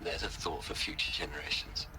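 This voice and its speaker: An actor playing a bitter, regretful old scientist, confiding wearily into a recorded log in a video game. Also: male voice, extremely low pitch, steady tone, fairly quick, background noise. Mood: bitter, weary. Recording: music behind the voice.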